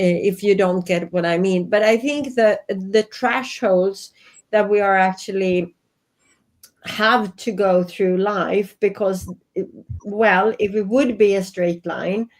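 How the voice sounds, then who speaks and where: A woman's voice: mid-range voice, a little mellow. A woman in her fifties from Sweden with a Swedish accent, speaking earnestly as a guest over a video call from her home office. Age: 50s. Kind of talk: reflective interview talk.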